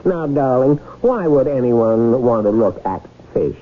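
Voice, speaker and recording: An actor playing a sleepy, stuffy gentleman husband in a 1970s radio drama, bumbling and droll. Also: medium pitch, animated, a little slow, lightly acted. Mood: drowsy, befuddled. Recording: tinny, narrow 1970s radio recording.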